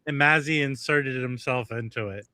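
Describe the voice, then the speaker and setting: A man co-hosting a casual livestream chat, halting and insistent, often restarting. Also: mid-range voice, sharp tone, no room sound. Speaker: livestream co-host.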